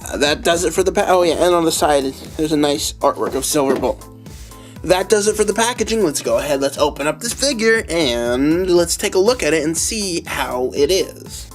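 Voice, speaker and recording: Young man, raspy from a cold, casual toy-review host, fairly high voice, faint music behind. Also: expressive, normal speed. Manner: casual, chatty, with filler ums and laughs. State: hoarse from a cold.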